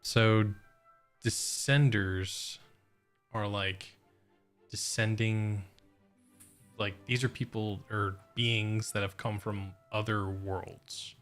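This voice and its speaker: A man livestreaming a game, reacting casually and thinking aloud in a rambling, halting way. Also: lowish voice, steady tone, fairly bright tone, faint music behind. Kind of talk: casual live reaction and chat.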